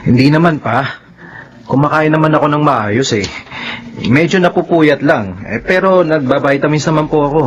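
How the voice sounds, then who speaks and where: Radio drama casting: studious, well-mannered younger son, fairly high male voice, reassuring tone. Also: moderate pace, breathy edge. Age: young adult. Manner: polite, reassuring. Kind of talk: Filipino radio drama acting.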